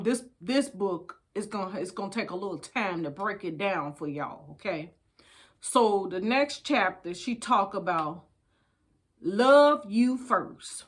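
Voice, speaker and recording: An older woman with an American accent, chatting casually and reading from a book in a folksy, emphatic way to her listeners. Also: mid-range voice. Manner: folksy, addresses listeners as babies, repeats phrases for emphasis. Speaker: storytime host. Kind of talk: casual chat with book read-aloud.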